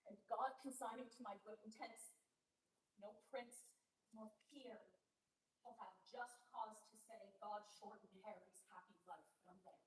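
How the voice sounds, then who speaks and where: A woman playing a stern, proud young king in a staged Shakespeare reading, with formal, measured declamation. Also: medium pitch, fairly even intonation, natural voice. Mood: solemn. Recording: echoey room, distant mic, faint hiss.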